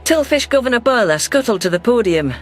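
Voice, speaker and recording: A man's voice: extremely high pitch, animated, a little fast, a bit bright, soft background music. A man narrating a science fiction story in the first person, reading a scripted text.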